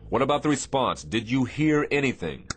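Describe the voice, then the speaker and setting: A man's voice: mid-range voice, sing-song, brisk, a little hiss or hum, natural voice. A man playing a terse, grave Navy destroyer captain in a radio drama, with a clipped, commanding manner. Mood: urgent.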